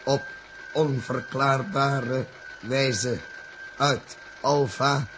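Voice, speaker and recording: A forlorn space captain speaks extremely slowly over clear background noise. His delivery is halting and bewildered, in the style of a 1960s science-fiction radio serial. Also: medium pitch, steady tone. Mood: grave reporting. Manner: halting, murmured, deliberate. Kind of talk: 1960s Dutch radio drama acting. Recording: noisy vintage radio recording with humming ambience.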